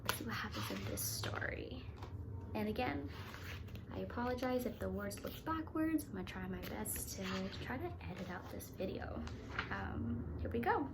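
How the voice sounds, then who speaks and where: A woman's voice: mid-range voice, expressive, normal speed, in a whisper. A woman teacher reading a picture book aloud to young children, expressively and with little explanatory asides.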